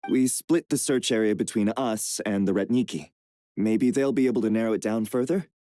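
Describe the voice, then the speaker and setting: A man's voice: on the low side, moderate pace, warm tone, no room sound, clean studio sound, close to an everyday voice. A man voicing a dutiful knight in a video game, reporting respectfully and in a businesslike way. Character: dutiful male knight reporting to his commander.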